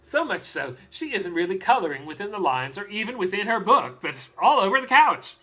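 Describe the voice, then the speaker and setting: A woman playing a doting, excitable father of a small girl in a theatrical monologue, telling the story with dramatic pauses and drawn-out emphasis. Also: medium pitch. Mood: fond, earnest.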